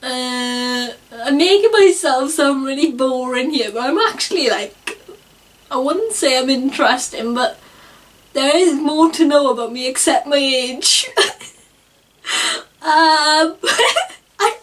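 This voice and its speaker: Casting: woman, early twenties, high voice, slow unhurried delivery, giggly and awkward, a bit off-mic. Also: a bit bright, recorded from a distance. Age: 20s. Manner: giggly, self-conscious, rambling. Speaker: vlogger. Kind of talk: unscripted vlog, casual talk to camera.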